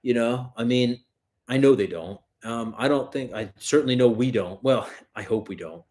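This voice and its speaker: Profane, rambling livestream host. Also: male voice, mid-range voice, normal speed, darkish tone. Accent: New York area. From US tri-state area. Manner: loose and profane, rambling with fillers. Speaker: livestream host answering viewer questions. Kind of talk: casual livestream Q&A.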